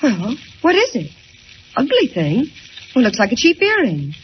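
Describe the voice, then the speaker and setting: An actress playing an impulsive, anxious young daughter in melodramatic 1940s radio style. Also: female voice, a little high, wildly swooping pitch, moderate pace. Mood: puzzled, eager. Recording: dull, hissy 1940s radio recording.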